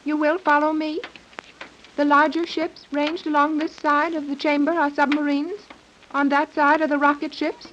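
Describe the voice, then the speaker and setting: A woman's voice: high-pitched, flat delivery, normal speed, fairly bright tone, slight background noise. A woman playing a stern young submarine commander in a 1930s radio serial, formal, imperious and humorless. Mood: brisk, authoritative. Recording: dull lo-fi 1930s radio recording.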